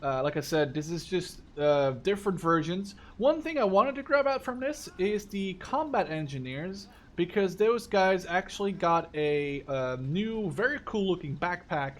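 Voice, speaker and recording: A man's voice: high-pitched, animated, normal speed, hardly any pauses, a little hiss or hum. A man in his twenties from the Netherlands giving casual, unscripted game commentary, rambling and thinking aloud. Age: 20s. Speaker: gaming YouTuber.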